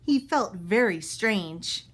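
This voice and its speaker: Animated woman reading a children's book aloud. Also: mid-range voice, slow. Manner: expressive, with explanatory asides for young listeners. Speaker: read-aloud storyteller.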